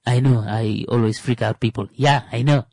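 A man's voice: medium pitch, fairly even intonation, normal speed, vocal fry, a little mellow, no room sound. A man hosting a radio talk show, joking and rambling with many fillers. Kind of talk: comedic monologue.

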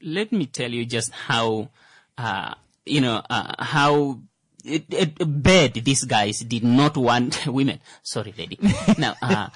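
A man's voice: medium pitch, expressive, slow pace, a bit bright, breathy edge, dry recording. A man, co-hosting a casual studio discussion and telling stories hesitantly, with lots of fillers and restarts. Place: broadcast studio.

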